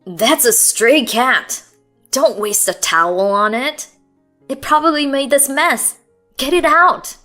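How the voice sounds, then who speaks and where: A woman voicing an angry, domineering husband who shouts harsh commands in a storybook narration. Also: medium pitch, lively intonation, a little slow, faint music behind. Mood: furious.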